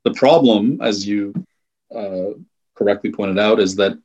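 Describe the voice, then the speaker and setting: A man from Iran talking as an interview guest, thoughtful and measured, often restarting and qualifying his words. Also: fairly low pitch, darkish tone, lo-fi sound. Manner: thoughtful, with frequent restarts and hedges. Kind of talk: conversational interview talk.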